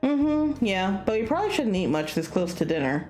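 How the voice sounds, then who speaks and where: A woman's voice: mid-range voice, very animated, normal speed, a little mellow, natural voice. A woman playing a shy, easily exasperated young man in a visual novel. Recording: music behind the voice.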